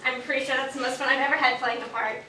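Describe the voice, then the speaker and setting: A woman's voice: sits high, fairly even intonation, rapid-fire, bright, forceful tone. A woman in a stage play, playing a friendly, candid young radio actress. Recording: echoey distant stage recording, faint noise.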